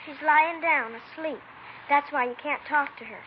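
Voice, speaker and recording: A high-pitched, frightened little girl, voiced by a woman in an old radio crime play, guarded and insistent as she keeps visitors away. The sound is dull and lo-fi, with faint hiss under it. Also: moderate pace, natural voice. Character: frightened, defensive young girl. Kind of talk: 1950s radio acting.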